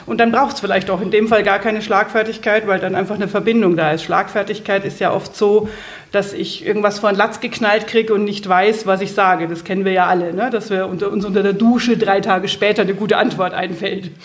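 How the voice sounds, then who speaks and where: A woman's voice: medium pitch, steady tone, brisk. Woman, Bavarian accent, blunt and earthy, laughs easily, interview guest. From Munich, Bavaria. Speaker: guest, negotiation coach. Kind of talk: casual interview talk.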